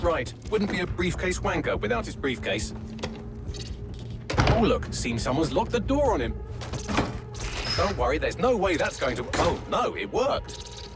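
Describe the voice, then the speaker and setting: A cheeky British AI assistant, pitched high and swinging expressively. He delivers dry, glib sarcasm in a video game. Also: very animated, measured pace, pauses often, over loud music. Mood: gleeful mockery. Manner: flippant, dry wit, glib. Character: cheeky, sardonic male artificial intelligence assistant.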